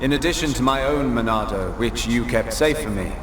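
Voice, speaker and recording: A man voicing an arrogant creator god in a game cutscene, pompous and condescending in measured speech. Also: mid-range voice, background noise, natural voice. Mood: lofty, contemptuous. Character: arrogant godlike creator, male, serene and contemptuous. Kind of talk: dramatic game cutscene acting. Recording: echoing voice under loud score.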